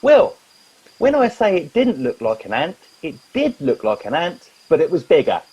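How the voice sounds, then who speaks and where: A man's voice: fairly high pitch, wildly swooping pitch, moderate pace, darkish tone, slightly rough, exaggerated voice. A man playing an eager, muddled junior crewman in a comic radio play, on a muffled recording. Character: eager, dim junior crew member.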